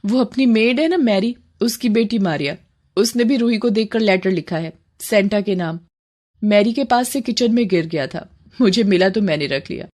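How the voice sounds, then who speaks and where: A woman playing a caring, soft-hearted mother in a cartoon story, speaking in a soothing, sincere way. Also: medium pitch, lively intonation, moderate pace, close to an everyday voice. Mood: kindly. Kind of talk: Hindi cartoon moral story acting.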